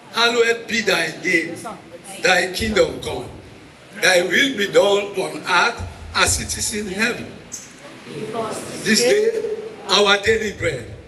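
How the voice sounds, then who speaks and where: A man with a Sierra Leonean accent leading a public prayer in a solemn, measured way. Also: high voice, pushed and bright, roomy sound, rough recording. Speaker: prayer leader. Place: hall at a town hall meeting.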